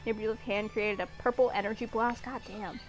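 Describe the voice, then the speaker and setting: A woman's voice: medium pitch, steady tone, a little fast, low-quality recording. A woman narrating fan fiction and breaking off for irreverent, informal asides. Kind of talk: story narration with casual asides. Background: music.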